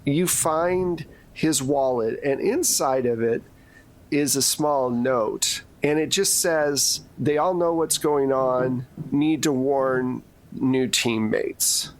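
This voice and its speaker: A man's voice: mid-range voice, slow pace. A man narrating a tabletop roleplaying game as game master, setting scenes in a casual, conversational way.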